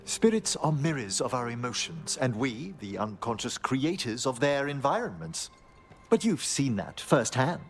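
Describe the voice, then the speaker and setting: An actor playing a courteous, scholarly gentleman necromancer in a fantasy game, with formal, genteel phrasing. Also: medium pitch, big pitch swings, normal speed, husky. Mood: genteel, musing.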